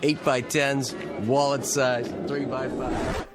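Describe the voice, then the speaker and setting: An actor playing a smooth-talking male street photographer in a television drama, delivering breezy salesman patter. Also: mid-range voice, flat delivery, slow, loud music behind the voice, natural voice. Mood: breezy, selling.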